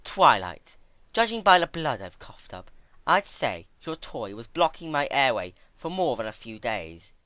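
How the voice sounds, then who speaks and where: A man voicing a regal, immortal female pony ruler in a story reading, measured, formal and condescending. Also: medium pitch, very animated, sharp tone, no room sound, pitched above the performer's own voice. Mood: dry, condescending.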